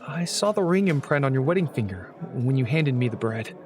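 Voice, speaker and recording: A man acting a quiet, perceptive homeless stranger in a radio drama, speaking in a gentle, measured, probing way. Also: medium pitch, lively intonation, close to an everyday voice. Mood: calm, observant. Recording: music under the dialogue.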